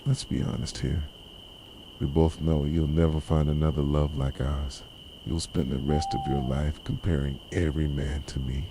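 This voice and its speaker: A man playing a possessive, jilted boyfriend in an ASMR roleplay, speaking softly with bitter, deliberate intensity. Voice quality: soft, low voice. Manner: intense, deliberate, reproachful dramatic delivery. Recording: clearly noisy, night insects and owl hoots.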